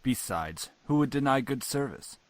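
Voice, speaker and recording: A man's voice: mid-range voice, expressive, moderate pace, dry recording, lightly acted. A man playing a cold, stern middle-aged father in a visual novel, speaking formally and with clipped authority. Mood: cool, stern.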